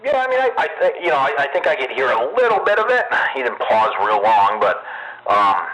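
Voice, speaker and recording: A man's voice: mid-range voice, sing-song, a little fast, bright, forceful tone. A man chatting casually on CB radio in a rambling, technical, sweary way. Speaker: amateur CB radio operator. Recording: noisy CB radio audio with static.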